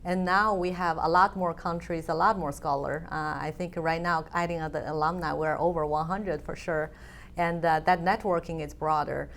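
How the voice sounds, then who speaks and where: A woman's voice: low-pitched, steady tone, moderate pace, fairly bright tone. A woman, a guest in an interview, speaking thoughtfully with frequent fillers. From China. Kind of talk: conversational interview.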